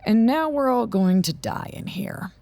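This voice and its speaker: Weary, gravelly scientist in charge. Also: mid-range voice, lively intonation, measured pace, darkish tone, no room sound. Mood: bleak, resigned. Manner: dry, sardonic, resigned. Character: weary, guilt-laden scientist and acting site director, bitter and curt. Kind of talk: audio drama acting.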